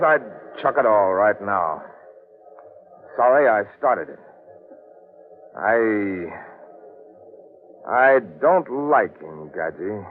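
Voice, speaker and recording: Intense gorilla hunter, vintage radio. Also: male voice, mid-range voice, a little hiss or hum. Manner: dramatic, grave. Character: feverish, brooding gorilla hunter, uneasy and intense. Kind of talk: 1940s radio mystery acting. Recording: tinny vintage radio recording.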